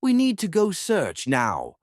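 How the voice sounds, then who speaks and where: A man's voice: high voice, wildly swooping pitch, takes it slowly, heavily acted. A man playing a defiant, desperate fighter in an amateur action drama, gritty and emphatic, on a noisy recording. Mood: frustrated, urgent. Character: defiant, desperate male fighter against villains.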